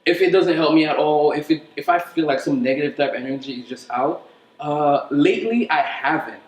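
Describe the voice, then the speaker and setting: A man's voice: fairly high pitch, brisk. A man from Haiti chatting casually as an interview guest, often searching for words in an informal way. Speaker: interview guest, writer and filmmaker. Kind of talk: casual chat. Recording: echoey room.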